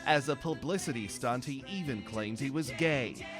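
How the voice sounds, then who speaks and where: A man narrating a scripted news report in a measured, formal way. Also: medium pitch, lively intonation. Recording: music bed under voice.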